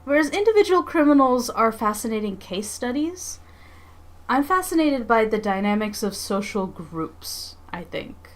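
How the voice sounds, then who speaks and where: A woman's voice: a little high, very animated, normal speed. Rambling woman chatting casually on a livestream. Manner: rambling, thinking aloud, emphatic. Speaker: livestream host, comic artist.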